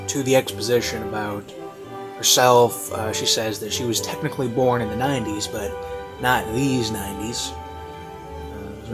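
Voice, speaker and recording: A man giving a casual, unscripted review in a rambling, hesitant way with lots of fillers. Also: mid-range voice. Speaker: online reviewer. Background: classical music.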